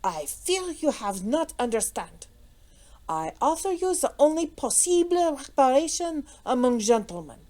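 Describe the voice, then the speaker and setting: A woman's voice: high-pitched, big pitch swings, measured pace, a little mellow, voice pushed up. A woman voicing a proud, hot-tempered young French nobleman who speaks earnest, formal broken English with a French accent. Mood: earnest protest.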